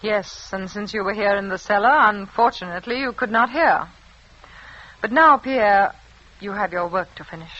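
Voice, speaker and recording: Tense French resistance wife, muffled radio recording. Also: female voice, medium pitch, normal speed, sharp tone, no echo. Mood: cold resolve. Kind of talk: 1940s radio drama acting.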